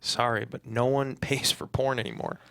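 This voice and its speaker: A man's voice: mid-range voice, fairly even intonation, normal speed, vocal fry, pushed and bright. A man playing an entitled, whiny millennial son at a comedy script table read.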